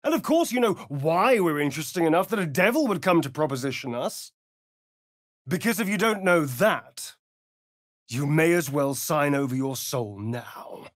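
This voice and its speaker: An actor playing a vain, sardonic vampire rogue in a fantasy video game, with an arch, drawling, theatrical delivery. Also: male voice, on the high side, lively intonation, moderate pace, no echo, studio quality, close to an everyday voice.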